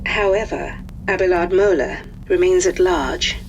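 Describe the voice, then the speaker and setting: A woman reading a scripted mission briefing, crisp and matter-of-fact. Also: on the low side, measured pace, highs cut off, slight background noise. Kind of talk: scripted narration.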